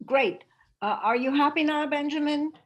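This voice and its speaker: A woman's voice: high voice, moderate pace, close to an everyday voice. A woman playing an exhausted, sarcastic young mother in a dramatic script read over a video call. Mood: scornful, sarcastic. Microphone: home microphone on a video call.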